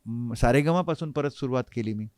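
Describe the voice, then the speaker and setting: Man in his fifties, smooth clear voice, halting reflective storytelling, dry studio sound. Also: mid-range voice, darkish tone, no echo. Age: 50s. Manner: hesitant, pausing mid-sentence to find words. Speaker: interview guest, harmonium player. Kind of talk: reflective reminiscing in an interview. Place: recording studio.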